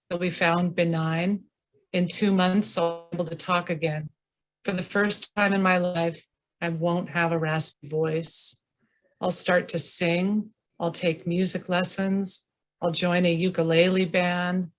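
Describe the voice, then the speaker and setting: Woman slowly reading her memoir. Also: lowish voice. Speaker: reader. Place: home, over a video call.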